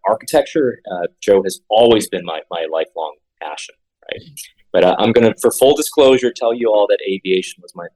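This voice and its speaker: A man's voice: low voice, warm tone, poor audio. A man in his forties, a guest architect, talking earnestly in an interview and often repeating words with many fillers. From Honolulu, Hawaii. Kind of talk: conversational interview.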